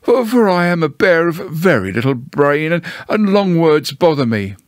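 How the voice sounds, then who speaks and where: A man acts out a small, polite storybook bear, slow of wit and always a little hungry. The voice sits high and swings up and down. He stammers gently and repeats himself in a humble, dreamy way. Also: big pitch swings, no room sound, studio quality. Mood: puzzled, flustered. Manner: hesitant, stammering, repeats words. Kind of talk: storybook character voice.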